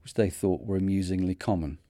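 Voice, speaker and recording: Mancunian man, mellow measured narration. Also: sits low, steady tone, no echo, clean studio sound. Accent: Manchester. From North of England. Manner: measured, earnest storytelling. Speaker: podcast host. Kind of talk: scripted reflective narration.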